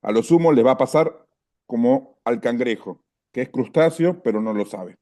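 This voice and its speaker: A man's voice: mid-range voice, expressive, moderate pace, mellow. A man speaking emphatically, making rhetorical points.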